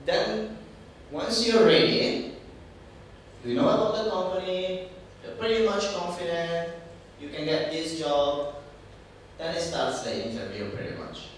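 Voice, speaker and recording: A man's voice: on the high side, unhurried, long pauses, slight background noise. A man with an Italian accent giving a casual seminar talk on job interviews, chatty and full of fillers and repeated words. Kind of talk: informal career seminar talk. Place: large echoey hall. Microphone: distant from the microphone. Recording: very echoey hall, voice from far off.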